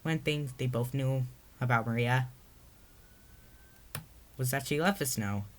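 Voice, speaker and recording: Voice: medium pitch, measured pace. A narrator reading their own story aloud in a storybook read-aloud style.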